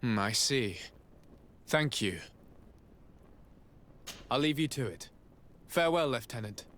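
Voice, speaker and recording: A noble envoy in a video game. He is composed and courteous, with formal, measured speech in a mid-range voice. Clear outdoor noise sits behind him. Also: medium pitch, expressive, fairly bright tone. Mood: polite inquiry. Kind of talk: video game cutscene acting.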